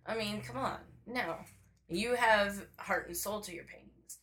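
A woman's voice: mid-range voice, a little slow, fairly bright tone. A woman, a painter and podcast guest, chatting casually and playfully, encouraging the host. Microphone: single shared podcast mic.

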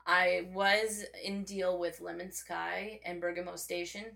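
A chatty woman guesting on a casual podcast, encouraging and playful. Her lowish voice has plenty of gravelly fry and a bright, forward edge, picked up on a mic she shares with the host. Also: little pitch movement, a little slow. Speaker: guest, painter. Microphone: single shared podcast mic.

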